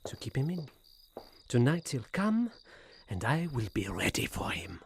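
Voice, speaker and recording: An actor playing a tormented, paranoid gentleman losing his mind, in a theatrical radio suspense style with a husky voice. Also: mid-range voice, wildly swooping pitch, unhurried, a little hiss or hum. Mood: brooding, secretive. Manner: theatrical, brooding monologue swelling to frenzy. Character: tormented paranoid Frenchman gentleman descending into madness. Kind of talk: radio suspense drama acting.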